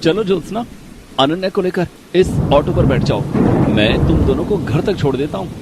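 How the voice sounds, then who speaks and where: A man's voice: a little high, expressive, normal speed, heavy background noise. Friendly young college man, played by an actor. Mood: helpful. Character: friendly, helpful, sincere young male college classmate. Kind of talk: animated moral story dialogue.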